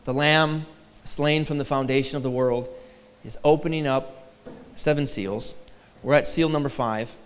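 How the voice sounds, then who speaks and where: A man's voice: medium pitch, steady tone, measured pace, hardly any pauses, smooth, long reverb tail. A man in his forties from Detroit, a guest preacher giving a sermon with a light, thin voice and a joking, self-deprecating manner. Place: large church hall.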